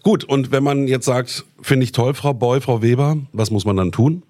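A man's voice: medium pitch, normal speed, darkish tone, no room sound. A man hosting a podcast interview, asking friendly follow-up questions in a conversational way. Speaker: podcast host.

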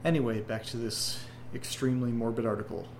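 A man playing a nervous young guy, gravelly voice. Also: medium pitch, steady tone, fairly quick, a little hiss or hum, natural voice. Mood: irritated. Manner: rambling, nervous, hesitant. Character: anxious young man receiving strange photos. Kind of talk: found-footage horror audio drama.

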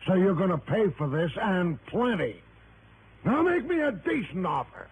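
An actor playing a loud, bullying American con man in 1960s radio drama, blustering and threatening in slang. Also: high-pitched, big pitch swings, moderate pace. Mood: bullying, indignant. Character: loud bullying con man posing as aggrieved hotel guest. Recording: tinny, noisy old radio broadcast.

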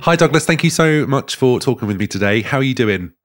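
A man's voice: mid-range voice, lively intonation, a little fast, soft background music. A man hosting a podcast, enthusiastic and chatty as he introduces and interviews a guest. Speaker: podcast host.

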